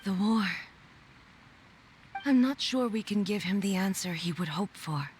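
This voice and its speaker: An actress playing a solemn, regal ruler in a video game, speaking with formal, stately gravity. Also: female voice, mid-range voice, lively intonation, measured pace, a little mellow, slight background noise, natural voice. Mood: grave, resolute.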